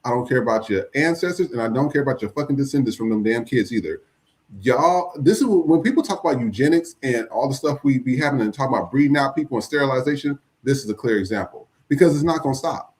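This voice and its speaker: A man's voice: medium pitch, lively intonation, quick pace, a little mellow. A man hosting a podcast, giving blunt, profane commentary on the news. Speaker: podcast host. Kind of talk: casual commentary.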